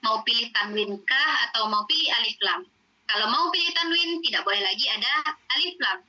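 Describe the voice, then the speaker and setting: A woman's voice: on the high side, expressive, normal speed, sharp tone, dull audio. A woman Arabic teacher, patiently explaining in an online class with many fillers.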